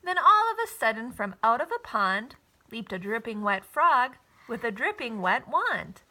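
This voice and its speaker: A woman narrating a children's story expressively, with a deliberate, rhythmic rhyming delivery. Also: on the high side, big pitch swings, pushed and bright. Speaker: storybook reader.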